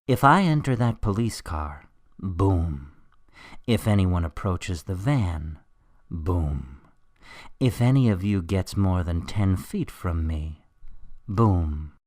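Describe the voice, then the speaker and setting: A man playing a smug criminal mastermind professor in a radio drama, coolly threatening and taunting in a deliberate, theatrical way. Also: deep voice, expressive, a little mellow, no echo, clean studio sound, exaggerated voice. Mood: smug menace.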